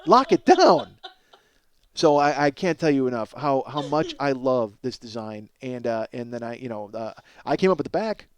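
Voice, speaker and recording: A man in middle age delivers a casual comedic monologue as a podcast host, at a medium pitch in a dry, echo-free recording. He is profane and self-deprecating, keeps wandering off on digressions, and gets excitable as he exaggerates for laughs. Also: moderate pace, clear, resonant tone. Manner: exaggerates for comic effect, excitable.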